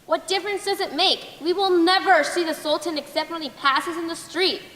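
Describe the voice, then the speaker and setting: A woman's voice: very high voice, fairly even intonation, brisk, a bit bright. A young teen girl on a school stage playing a kind, earnest youngest sister who becomes queen. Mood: modest, earnest. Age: young teen middle schooler. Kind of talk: school stage play acting, projected lines. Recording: echoey reverberant hall stage recording.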